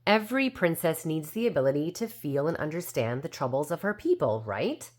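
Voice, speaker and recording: A woman's voice: low voice, sing-song, a little fast. A woman voicing a very old, indignant but well-meaning fairy who tells her own storybook tale defensively and with self-assurance. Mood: hurt, earnest. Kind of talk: storybook character narration.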